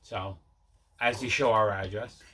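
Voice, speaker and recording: A man's voice: fairly low pitch, steady tone, measured pace, pushed and bright, a little hiss or hum. A man casually explaining items in an unscripted show-and-tell at home, matter-of-fact in manner. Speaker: host of collecting vlog. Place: indoors at home.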